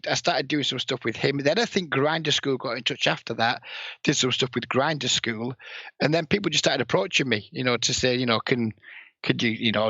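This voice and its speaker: A man with a northern English accent and a raspy voice, a blunt, heavily swearing guest in a casual podcast interview who sniffs and coughs. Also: medium pitch, bright, forceful tone, no echo. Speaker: poker player and coach, guest. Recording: dull lo-fi, dry sound.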